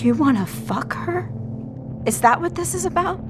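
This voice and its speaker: A woman's voice: extremely high pitch, animated, normal speed, background noise. A woman playing a cruel, jealous middle-aged wife and mother in an audio drama, with a needling, possessive manner. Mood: hurt, accusing. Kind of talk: audio fiction acting.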